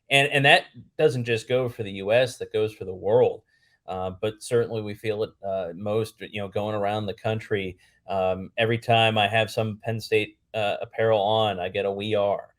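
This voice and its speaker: A man from Pennsylvania with a lowish voice speaks as a podcast guest. His delivery is earnest and conversational, building up lists and returning to key phrases. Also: fairly bright tone. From Pennsylvania, United States. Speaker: public address announcer and podcast guest. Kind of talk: conversational interview answer.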